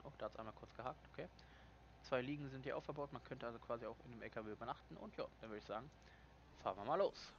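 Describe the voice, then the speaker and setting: A young man with a slight cold casually commenting on a game he is playing, chatty and quick to correct himself and apologize. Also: mid-range voice, dull audio, a little hiss or hum. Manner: relaxed, chatty, frequent self-corrections and apologies. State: slightly congested with a cold, clears throat. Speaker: gaming channel host. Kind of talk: casual let's-play commentary.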